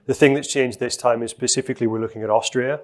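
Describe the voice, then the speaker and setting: A middle-aged man speaks into a lectern microphone at a conference, walking the audience through a live software demo. His voice sits at an ordinary mid pitch, and his pace is steady and explanatory, with frequent fillers. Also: fast talker. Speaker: presenter giving a software demo.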